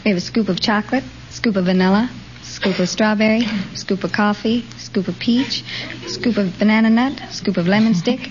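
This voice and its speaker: A woman's voice: medium pitch, moderate pace. A nineteen-year-old woman from California with an American accent, giving plain, brief answers as a quiz contestant. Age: teens, 19. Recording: muffled, noisy 1940s radio recording.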